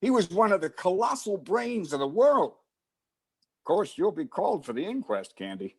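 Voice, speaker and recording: An actor doing a teasing police lieutenant who talks down to the detective he is fond of. His voice sits fairly high and swings widely in pitch, in the manner of old-time radio drama. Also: big pitch swings, moderate pace, husky, exaggerated voice. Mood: somber. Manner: teasing and condescending. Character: male police lieutenant, wry, fond and patronizing. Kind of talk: 1940s radio acting.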